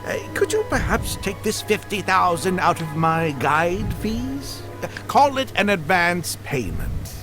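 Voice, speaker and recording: Older male guide for a game: slightly high, hugely animated, polite, hesitant and theatrical, music behind. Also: unhurried. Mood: dismayed, apologetic. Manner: hesitant, courteous, theatrical delivery. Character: genial older male guide, wheedling, easily flustered gambler. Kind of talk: video game voice acting.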